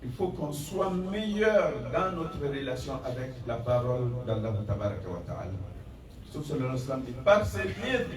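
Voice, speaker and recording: Impassioned imam in an echoey hall. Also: male voice, mid-range voice, big pitch swings. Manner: impassioned preaching with rising emphatic phrases; recites Arabic verses in quick chant. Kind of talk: Friday sermon. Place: mosque prayer hall. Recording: echoey hall from far off, crowd behind.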